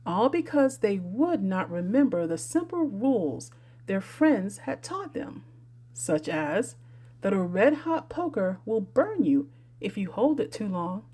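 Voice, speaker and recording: A woman narrates a children's storybook with lively, varied intonation. Her voice is mellow and a little husky, sitting at an ordinary pitch for a woman. She reads as an audiobook storyteller. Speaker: audiobook reader.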